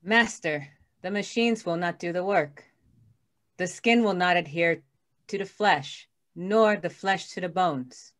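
Radio-play robot petitioner, played by a woman: grave, formal, unhurried, servile. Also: lowish voice, lightly acted. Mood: solemn pleading. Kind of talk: 1930s radio drama acting.

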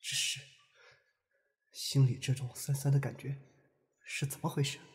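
A man playing a gentle, wistful young man in love in an audio drama, speaking softly and earnestly. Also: medium pitch, a little slow, natural voice. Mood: hurt, faint. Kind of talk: Chinese audio drama acting. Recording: reverberant, with music behind.

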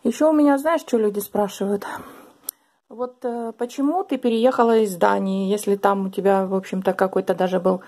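Chatty woman with a gravelly edge. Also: mid-range voice, steady tone, brisk, a little mellow. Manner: chatty, affectionate. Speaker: vlogger host. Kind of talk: casual interview. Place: small living room at home.

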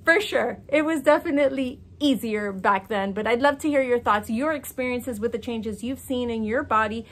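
A woman in her forties with a fairly high voice speaks straight to camera from an outdoor patio by the water. Her talk is casual, chatty and frank, and she gestures as she goes. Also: moderate pace, hardly any pauses. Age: 40s. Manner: animated, talks with her hands, chatty and frank. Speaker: fitness coach and vlogger.

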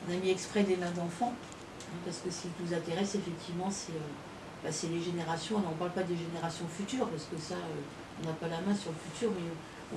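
Middle-aged woman, association representative presenting informally; slightly low voice, heard off in an echoey room. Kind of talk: informal spoken presentation at a partnership signing. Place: small indoor meeting room with a group standing behind. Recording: echoey, noisy room, distant microphone.

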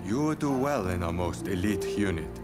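An actor playing an imposing, approving warrior in a video game. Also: male voice, low voice, expressive, normal speed, loud music behind the voice. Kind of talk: video game cutscene acting.

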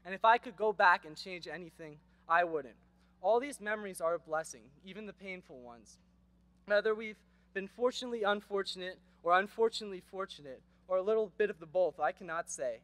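A teenage boy delivers a graduation speech into a podium microphone on an outdoor stage. His voice sits high and the sound is dry and close. He is earnest and rhetorical, with wry asides. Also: a little fast, fairly bright tone, no echo. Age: teens. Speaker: graduation speaker. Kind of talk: prepared commencement speech.

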